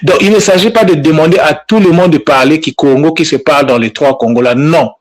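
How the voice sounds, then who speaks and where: A man's voice: fairly high pitch, fairly quick. A man speaking informally and insistently to his online group as their language teacher, repeating himself and scolding now and then. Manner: insistent, admonishing, with frequent repetitions. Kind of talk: informal spoken address to followers.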